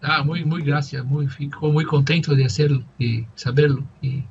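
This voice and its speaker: A man whose first language is Portuguese, practicing conversation as a language student and pausing often to search for words. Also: a little high, fairly even intonation, vocal fry, highs cut off, a little hiss or hum. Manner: halting, searching for words, many fillers.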